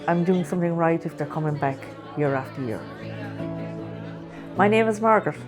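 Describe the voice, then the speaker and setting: An Irish-accented woman who runs a bar, speaking plainly and deliberately in a documentary interview. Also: lowish voice, animated, music in the background. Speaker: whiskey bar owner.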